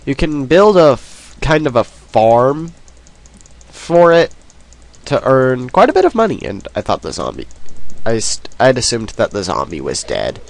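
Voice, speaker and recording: A man's voice: mid-range voice, very animated, a little slow, creaky. A man with a cold, sniffling as he rambles through casual let's play commentary. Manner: rambling, self-deprecating, many ums.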